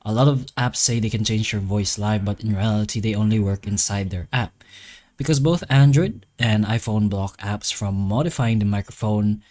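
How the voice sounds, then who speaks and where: A man's voice: fairly low pitch, moderate pace, a little mellow. Man giving a casual how-to explanation. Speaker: tutorial host. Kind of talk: explanatory how-to narration, semi-improvised.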